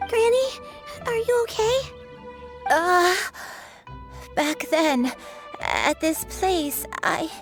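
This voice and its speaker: A woman's voice: extremely high pitch, big pitch swings, extremely slow, pauses often, over music, exaggerated voice. A woman voicing an earnest, anxious small child in a video game, hesitant yet eager. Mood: worried. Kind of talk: video game character acting.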